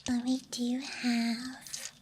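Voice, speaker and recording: A woman softly whispering an ASMR show-and-tell in a hushed, unhurried murmur, with trailing phrases. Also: a little high, monotone. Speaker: ASMR host. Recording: clear background noise, night ambience. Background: night campground ambience and clicking of handled objects.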